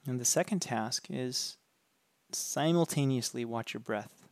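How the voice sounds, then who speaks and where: A man with a gravelly, creaky voice leads a guided meditation, speaking calmly and gently. The recording is close and dry, with no room sound around him. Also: mid-range voice, no echo. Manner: calm, slow, gentle instructions.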